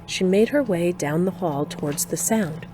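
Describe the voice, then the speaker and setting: A woman's voice: on the low side, moderate pace, noisy background, close to an everyday voice. A woman playing a plain-spoken, dryly humorous older narrator telling her adventures in an audio drama. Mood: dry storytelling. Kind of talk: scripted first-person narration in audio drama.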